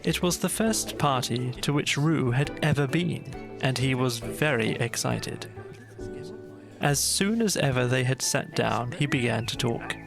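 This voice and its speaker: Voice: mid-range voice, expressive, a little slow, vocal fry, over music. A storyteller reading a scripted children's bedtime story as an audiobook narrator.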